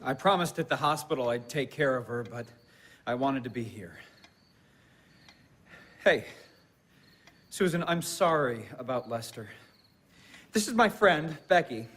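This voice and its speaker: A man's voice: medium pitch, lively intonation, a little slow, long pauses, a bit bright, a little hiss or hum. A man playing an earnest young husband, hesitant and conscientious, in a naturalistic audio drama. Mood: apologetic.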